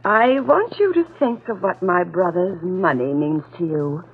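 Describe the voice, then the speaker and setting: An actress playing a coolly threatening, calculating blackmailer in old-time radio drama, speaking in an insinuating, deliberate way. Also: female voice, lowish voice, animated. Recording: tinny band-limited vintage radio, music behind.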